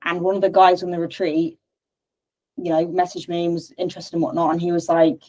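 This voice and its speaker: A young woman with a slightly low voice talks on a video call with her coach. She is chatty and hesitant, full of fillers and restarts as she asks her questions. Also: flat delivery, a little fast, a little mellow, low-quality recording. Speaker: coaching client. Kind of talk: casual call.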